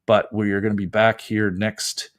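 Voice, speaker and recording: A man's voice: on the low side, level tone, moderate pace. A man hosting a live call-in show as a lawyer, giving advice in a casual, conversational way. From Canada. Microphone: close mic, wearing headphones.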